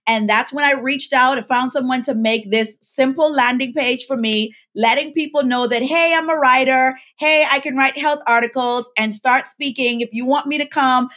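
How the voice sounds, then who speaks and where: A woman's voice: high-pitched, fairly even intonation, moderate pace, hardly any pauses, fairly bright tone. A woman from the Bahamas, a pharmacist and life coach, telling her story as a podcast guest and acting out the quoted lines. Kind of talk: casual interview chat.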